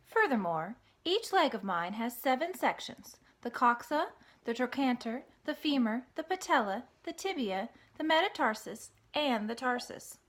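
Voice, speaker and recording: A woman's voice: fairly high pitch, big pitch swings, unhurried, voice pushed up. A woman voicing a wise, patient female spider in a storybook, speaking in a precise, instructive and encouraging way. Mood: matter-of-fact.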